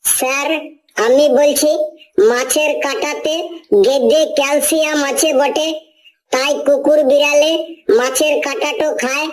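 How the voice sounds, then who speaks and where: A performer voicing a cocky, slow-witted schoolboy with boastful, rustic phrasing in a comedy cartoon. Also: high voice, steady tone, poor audio.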